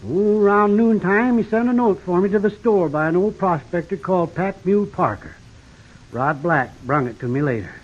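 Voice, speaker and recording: An old rural Western American homesteader for a radio western. His voice sits rather high, and his talk is plain, drawling and folksy. The recording is dull and vintage. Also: lively intonation, normal speed. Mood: rueful. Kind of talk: old-time western radio drama acting.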